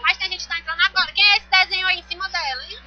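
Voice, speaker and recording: A woman's voice: very high voice, steady tone, very fast, bright, forceful tone, highs cut off, slight background noise. A young Brazilian woman with a Brazilian accent, chatting on a webcam livestream from a busy shopping mall, mixing broken Spanish into Portuguese. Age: young adult. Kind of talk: casual group livestream chat. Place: large indoor shopping mall. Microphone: webcam mic.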